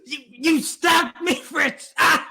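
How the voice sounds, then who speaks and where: Man playing a bright-voiced, arch radio-thriller thief. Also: extremely high pitch, slow pace, gravelly, exaggerated voice. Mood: terrified. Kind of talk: 1940s radio thriller acting.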